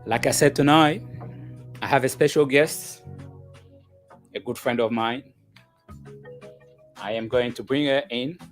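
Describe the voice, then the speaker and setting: A man hosting a live relationship show, welcoming viewers and guests in a slow, deliberate way and often repeating himself. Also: mid-range voice, faint music behind. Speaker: host of a call-in relationship show.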